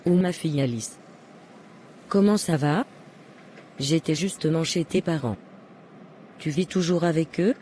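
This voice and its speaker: A woman's voice: deep voice, unhurried, pauses often, warm tone. A woman playing a meddling, self-satisfied middle-aged mother in a cartoon, with a patronising manner. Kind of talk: cartoon voice acting. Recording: faint background noise.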